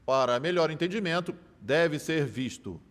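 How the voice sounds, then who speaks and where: An actor dubbing a sharp, persistent lawyer who cross-examines a witness with measured, theatrical questions. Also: male voice, on the high side, animated, long reverb tail, close to an everyday voice. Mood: probing. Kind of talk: dubbed film courtroom drama.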